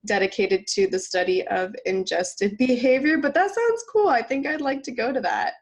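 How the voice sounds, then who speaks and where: A woman's voice: on the high side, animated, normal speed. A woman with a congested cold voice co-hosting a nutrition podcast in casual chat, laughing often and using lots of fillers. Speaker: podcast co-host.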